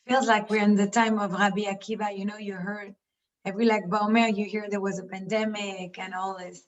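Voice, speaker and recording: Fast-talking French woman on a call. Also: mid-range voice, flat delivery. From France. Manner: fast, halting, trailing off. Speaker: class participant. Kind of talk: casual chat on a video call. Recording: dull lo-fi call audio.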